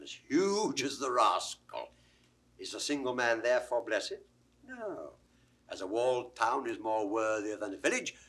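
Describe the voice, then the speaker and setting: An actor playing a witty, bawdy court jester with mock-pompous relish in a 1960s Shakespeare audio drama. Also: male voice, medium pitch, a bit bright. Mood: musing. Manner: theatrical, wordplaying, mock-pompous.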